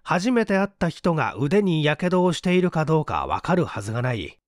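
A man's voice: fairly high pitch, very animated, brisk, no room sound, clean studio sound. A man reading a literary story as a scripted audiobook narration. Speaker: audiobook narrator and stage actor.

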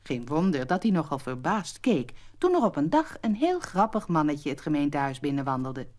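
A woman narrating a scripted children's story as an expressive storyteller. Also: low voice, normal speed.

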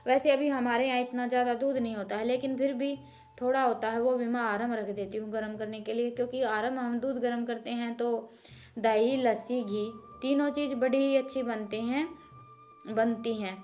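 A woman's voice: a little high, steady tone, moderate pace, darkish tone, soft background music. Chatty village woman explaining her cooking. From Haryana, India. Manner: homely, chatty, explanatory, addresses viewers with "ji". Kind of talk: casual voice-over explaining cooking and chores.

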